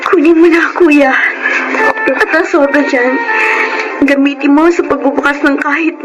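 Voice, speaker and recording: A woman's voice: high-pitched, fairly even intonation, unhurried, loud music behind the voice, poor audio. A woman playing an ambitious, defiant young Filipina in a radio drama, with melodramatic, emphatic delivery. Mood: pleading. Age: young adult.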